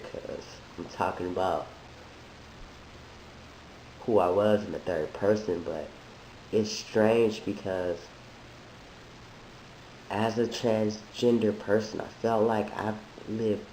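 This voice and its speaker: A man who is sick, talking in a halting, thoughtful way with many restarts, in a reflective personal monologue. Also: lowish voice, steady tone, darkish tone. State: sick, voice deeper than usual. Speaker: vlogger sharing a transition update. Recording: echoey room with faint hiss.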